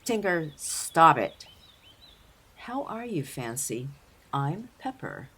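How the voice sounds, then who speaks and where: A woman's voice: sits low, sing-song, slow, fairly bright tone, breathy edge, full character voice. A woman voicing a nervous young female mouse in an audio drama, apologetic and eager to please. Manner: flustered, deferential. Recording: faint outdoor ambience with birdsong.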